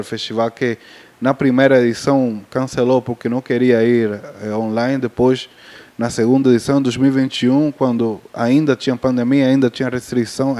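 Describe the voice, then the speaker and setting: Man, Cuban accent, Spanish native speaker; mellow, halting panel talk with many restarts. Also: medium pitch. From Cuba. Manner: hesitant, many fillers and restarts. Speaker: festival panelist on film distribution.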